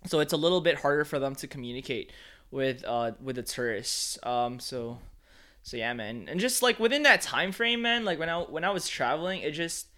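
Bright-voiced laid-back guy from the Philippines. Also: fairly high pitch, animated, normal speed. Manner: laid-back, many fillers like "like" and "man". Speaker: photographer. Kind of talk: casual chat.

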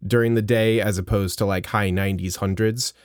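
A man's voice: fairly low pitch, steady tone, a little fast, no echo, clean studio sound. A man co-hosting a podcast in casual banter, teasing and sarcastic, a bit nasal from a cold. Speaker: podcast co-host.

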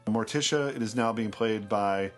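A man's voice: lowish voice, normal speed, faint music behind. Rambling man chatting about movies. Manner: rambling, enthusiastic, trails off mid-thought. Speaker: podcast co-host. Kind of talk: casual film discussion.

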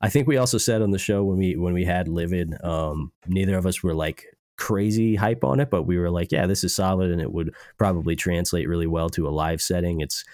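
A man's voice: deep voice, brisk, few pauses, darkish tone, no echo, clean studio sound. A young man hosting a casual music podcast, a little stuffed up from allergies, rambling loosely with lots of fillers. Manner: rambling, laid-back, many filler words. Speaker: podcast host.

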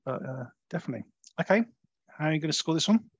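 A man hosting a conversational film review, talking in a halting way and often rephrasing as he goes. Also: fairly high pitch, animated, dry recording, muffled recording. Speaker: film review podcast host.

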